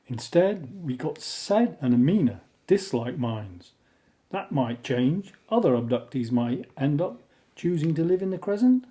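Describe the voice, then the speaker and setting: A man's voice: on the high side, big pitch swings, unhurried, dark tone. A man playing an opinionated, wry settler in an audio drama, with a blunt way of talking. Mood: matter-of-fact. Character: opinionated, wry male settler living apart with abductees.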